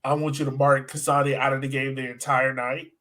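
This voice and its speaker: A man's voice: mid-range voice, flat delivery, fairly quick, pushed and bright. A man co-hosting a sports podcast, chatting casually in informal, slangy language and often turning to his co-host.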